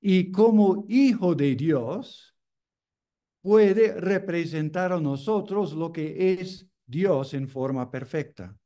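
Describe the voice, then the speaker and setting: A male preacher, a native English speaker, delivers a sermon with measured, unhurried phrasing. His voice sits a little high for a man but sounds mellow and soft-edged. He repeats key phrases as he builds each point.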